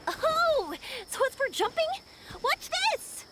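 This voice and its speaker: A woman's voice: very high voice, sing-song, slow pace, very noisy, heavily acted. A woman voicing a bubbly, encouraging young woman adventurer in a video game. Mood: excited. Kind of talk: scripted video game acting.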